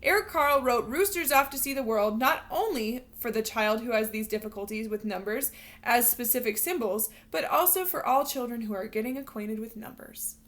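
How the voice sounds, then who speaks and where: Bright, high-voiced woman reading to children. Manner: expressive, instructional, addressing young children. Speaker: teacher reading a picture book to children. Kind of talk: animated read-aloud storytelling with questions to young learners.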